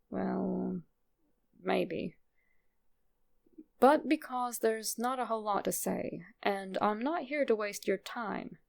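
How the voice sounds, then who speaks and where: A woman with a creaky voice reading a scripted first-person horror story as a narrator. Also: medium pitch.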